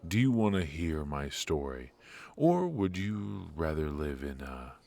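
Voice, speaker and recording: A man's voice: rumbling low, very animated, measured pace, gravelly, warm tone, heavily acted. An actor playing a charismatic, injured former mafia man in an ASMR roleplay, teasing and flirtatious while he admits to being stiff and worn out. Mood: confiding.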